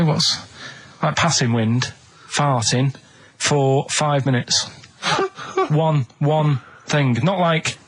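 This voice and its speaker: Husky-voiced man in casual radio banter. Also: fairly high pitch, a bit bright, rough recording. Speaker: radio producer and co-host.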